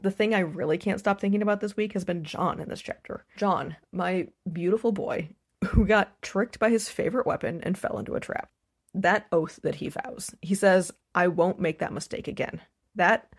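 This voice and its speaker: A woman recording a listener voice message, giving prepared fan commentary in a measured, articulate way. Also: fairly low pitch, creaky.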